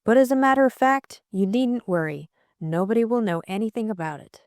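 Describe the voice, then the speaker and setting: A woman voicing a determined upper-class young lady in an audiobook, brisk, commanding and unflustered. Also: fairly high pitch, darkish tone, dry recording, studio quality, pitched above the performer's own voice, close to an everyday voice.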